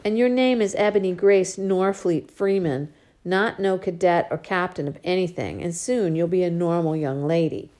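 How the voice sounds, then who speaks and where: A woman's voice: lowish voice, moderate pace, a little mellow, close to an everyday voice. A woman reading a loving but stern middle-aged father in an audiobook, scolding then tender, with folksy slang. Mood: coaxing. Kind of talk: audiobook character voice.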